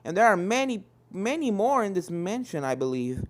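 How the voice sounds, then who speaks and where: A woman's voice: mid-range voice, very animated, normal speed, natural voice. A woman voicing an encouraging adult male ghost-hunter pony, a hearty cartoon mentor who talks instructively.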